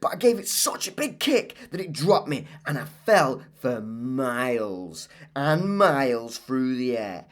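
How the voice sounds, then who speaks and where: A woman voicing an imaginative young girl in a storybook, telling tall tales with excitable, dramatic delivery. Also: extremely low pitch, measured pace, few pauses, full character voice. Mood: excited, dramatic.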